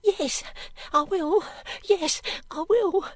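A wretched young servant girl from a storybook. The voice is very high and close and dry, plaintive and pleading, anxious to please and easily frightened. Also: very slow, no echo, full character voice. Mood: frightened, distraught. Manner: plaintive, pleading, breathlessly repetitive. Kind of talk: audiobook character acting.

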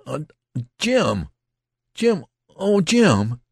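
A man performs a distraught teenage soldier from a war storybook. The voice is pushed up high and husky, crying out and stammering in desperate anguish. It sounds close and dry. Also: sing-song, very slow, dry recording, full character voice. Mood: horrified, anguished.

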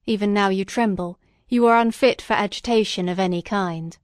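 Casting: benevolent magistrate for a storybook, read by a woman, formal, measured, mid-pitched, closely recorded. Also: clear, resonant tone, no echo, clean studio sound, lightly acted. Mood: considerate. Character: benevolent male magistrate, gentle but sometimes severe.

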